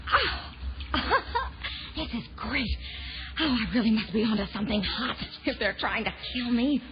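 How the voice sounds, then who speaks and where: A woman's voice: fairly high pitch, expressive, measured pace. A woman playing a plucky, wisecracking television news reporter in a children's audio drama. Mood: excited, alarmed. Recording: dull band-limited old audio drama recording. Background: loud dramatic music.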